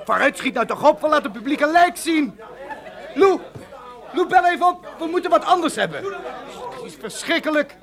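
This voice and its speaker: An actor playing an excitable, opportunistic male television director in a radio play, frantic and prone to stammering. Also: very high-pitched, normal speed, pauses often, some chatter behind, exaggerated voice. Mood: frantic shouting. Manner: frantic, stammering, self-questioning. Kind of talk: Dutch radio play acting.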